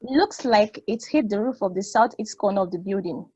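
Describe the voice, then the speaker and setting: Husky, dry-sounding excitable woman acted. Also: mid-range voice, moderate pace, dry recording, natural voice, rough recording. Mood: thrilled. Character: excitable woman guiding her friend over the radio link. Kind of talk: stage play table read.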